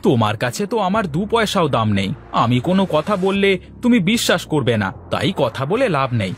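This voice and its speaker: A man's voice: a little high, sing-song, a little fast, faint music behind. A man voicing a boastful, sly village husband in a cartoon, theatrical and exclamatory, repeating his phrases. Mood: sulky, offended. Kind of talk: animated cartoon voice acting in Bengali moral story.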